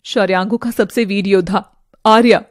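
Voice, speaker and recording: A woman's voice: medium pitch, steady tone, moderate pace, dry recording, studio quality, pitched below the performer's own voice, lightly acted. A woman voicing a vengeful, cunning young fairy in a cartoon, dramatic and intense. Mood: alarmed, urgent.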